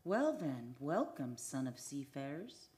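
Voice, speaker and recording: An actress playing a mysterious, imperious sea woman who longs for love, speaking formally and deliberately in an old-time radio drama. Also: rumbling low, sing-song, a little mellow, heavily acted. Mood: yearning, grave.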